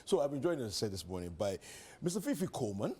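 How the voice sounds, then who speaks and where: A man's voice: mid-range voice, sing-song, quick pace, warm tone, husky. A Ghanaian man hosting a live morning TV show, often hesitating and backtracking as he goes. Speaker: TV breakfast show host. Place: TV studio set.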